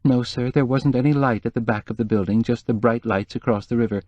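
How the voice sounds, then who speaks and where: A man's voice: medium pitch, steady tone, brisk, darkish tone, no room sound, muffled recording, close to an everyday voice. A man voicing a nervous, timid middle-aged female housekeeper who whimpers and speaks hesitantly, in a dry close read.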